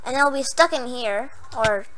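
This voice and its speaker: Hoarse young gamer girl, high bright voice. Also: normal speed, low-quality recording. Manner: jumpy, yells and squeals when startled, then chatty. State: hoarse, losing voice. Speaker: gaming channel host. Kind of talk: Minecraft horror map let's play.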